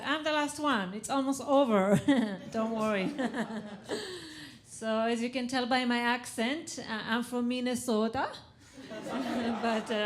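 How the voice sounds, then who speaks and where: A woman born in Israel doing a stand-up set, chatty and laughing at her own jokes on stage. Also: fairly high pitch. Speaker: comedian. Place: stage before a live audience. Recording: live show with crowd noise.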